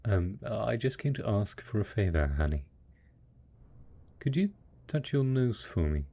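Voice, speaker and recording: A man acting as a devoted, hopeful survivor in an intimate audio roleplay, talking tenderly and coaxingly to a spouse, with a husky, gravelly voice. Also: on the low side, very animated, measured pace, warm tone, heavily acted. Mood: curious, hopeful.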